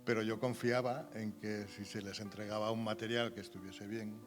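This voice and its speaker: Casting: man, slightly deep, colloquial interviewee in relaxed conversation, with faint background music. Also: normal speed. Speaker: interview guest. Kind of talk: casual stage chat.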